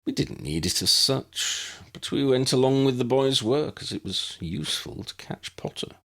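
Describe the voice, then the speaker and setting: A man's voice: medium pitch, animated, vocal fry, a bit bright, pitched above the performer's own voice. A man voicing a cold, disdainful, aristocratic wizard father who sneers smugly in a fantasy audiobook. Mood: sneering, smug. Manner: disdainful, smug, drawn-out delivery.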